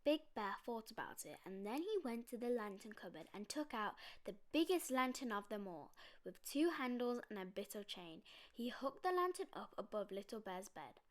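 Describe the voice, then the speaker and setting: Female storyteller, fairly high voice, very varied intonation, expressive children's book narration. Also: normal speed, few pauses.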